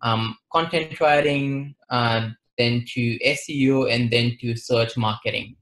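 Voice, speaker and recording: A young man from western India with an Indian accent, explaining things in a measured, matter-of-fact way as an interview guest. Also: mid-range voice, steady tone, a bit bright, rough recording. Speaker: interview guest, marketing advisor. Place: plain-walled room, indoors.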